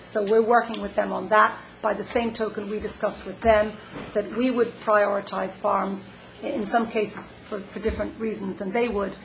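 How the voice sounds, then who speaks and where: A woman presenting planning work at a public meeting, measured and hesitant with many fillers. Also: mid-range voice, little pitch movement, vocal fry, slight background noise, low-quality recording.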